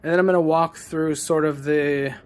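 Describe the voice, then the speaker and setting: A man's voice: fairly high pitch, steady tone, normal speed. Rambling man talking into his phone. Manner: rambling, self-correcting, plain-spoken. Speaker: songwriter and producer. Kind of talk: casual explanatory vlog. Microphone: phone held at arm's length.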